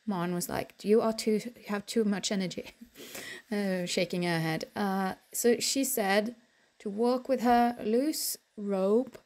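A young woman talks through her own footage in a relaxed, unscripted voiceover. Her delivery is slow and her tone is warm and mellow, with frequent ums and restarts along the way. She sits in a quiet room, speaking into a headset mic. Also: medium pitch. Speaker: horse vlogger. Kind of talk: casual voiceover narration. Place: indoors, quiet room, recorded apart from the pictured arena.

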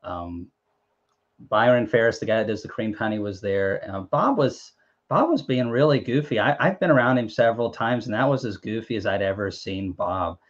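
A man's voice: on the low side, lively intonation, normal speed. A young man hosting a casual live chat over a video call from a small room at home, rambling and joking with lots of fillers and restarts. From Tennessee, USA. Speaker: host. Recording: dull lo-fi stream audio.

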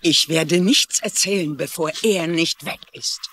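An actress playing a defensive, evasive middle-aged former secretary in a German-dubbed TV crime drama, guarded in manner and husky. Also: female voice, low-pitched, a little slow, a bit bright, a little hiss or hum.

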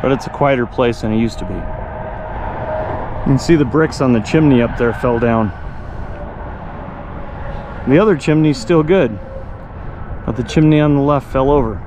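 A man's voice: moderate pace, pauses often. A man musing aloud in a reflective, casual way as he explores and comments on what he sees. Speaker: vlogger and photographer exploring abandoned places. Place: abandoned farmstead, mostly outdoors beside a highway. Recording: noisy outdoor field recording, highway nearby.

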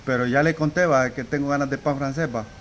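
A man's voice: medium pitch, steady tone, quick pace. A man, co-hosting a casual vlog, drawing out a story for suspense with restarts. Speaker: vlog co-host. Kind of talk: casual chat. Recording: outdoor audio with faint background noise.

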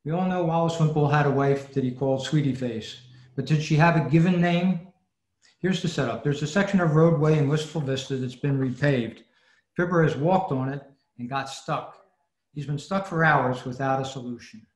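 A man reads a prepared talk as presenter of a club meeting. His fairly high voice comes over a roomy video call. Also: moderate pace. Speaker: presenter at an old-time radio club. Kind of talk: scripted presentation.

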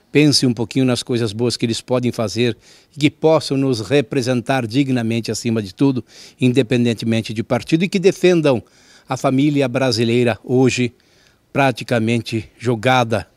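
A man's voice: mid-range voice, no room sound. A middle-aged man hosting a regional TV show, greeting viewers in a folksy, chatty way outdoors with a handheld mic. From southern Brazil. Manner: warm, folksy, chatty with small laughs. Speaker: TV show host. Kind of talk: friendly direct address to viewers. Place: outdoors in front of a wooden fence.

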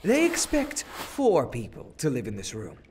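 Man as flamboyant male wizard, sardonic, slow drawl, very animated pitch, cartoon. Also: background noise. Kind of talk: scripted cartoon acting.

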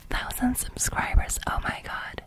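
A woman's voice: unhurried, a bit bright. Whispering woman, ASMR creator. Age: 20s.

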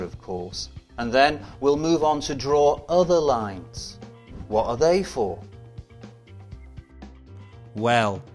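A middle-aged man presenting scripted instructional narration in a measured, explanatory way, posing rhetorical questions. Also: mid-range voice. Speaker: presenter of an educational film. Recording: music bed behind studio voice. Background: instrumental music.

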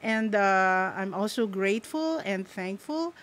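Slow, halting Filipino woman station manager. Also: medium pitch, expressive, a bit bright. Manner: slow, halting, many fillers. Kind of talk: welcome remarks. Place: campus radio studio.

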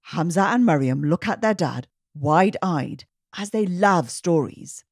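Lady reading kids story out loud, slow and lively, kinda low voice, very dry sound. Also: no echo. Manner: animated, emphatic, clearly paced delivery. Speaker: children's story reader and host. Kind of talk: expressive read-aloud storybook narration for children.

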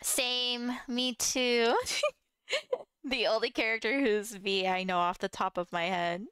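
A giggly, excitable woman reacting casually on a live stream, with game trailer music behind her. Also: a little high, takes it slowly, clear, resonant tone, no echo.

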